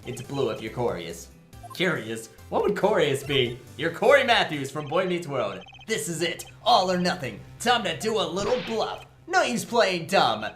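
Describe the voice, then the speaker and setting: A man's voice: fairly high pitch, sing-song, normal speed, fairly bright tone, echoey room, faint music behind. A man voicing a goofy, sarcastic young defense lawyer in a game fan dub, wisecracking with exclamations and muttered asides. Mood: teasing, triumphant. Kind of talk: fan-dub visual novel character acting.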